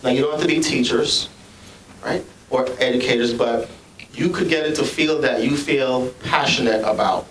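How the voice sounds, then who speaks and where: A man from Jamaica with a Jamaican accent, giving an earnest, measured speech as a school administrator at a student ceremony, often restarting his sentences. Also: medium pitch, a bit bright, slight background noise. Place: school library, large room. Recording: echoey room, from far off.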